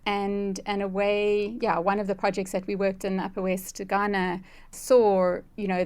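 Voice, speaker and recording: South african lady guest in an interview, earnest, reflective, pretty even voice. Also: medium pitch. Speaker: interview guest, conservation ecologist.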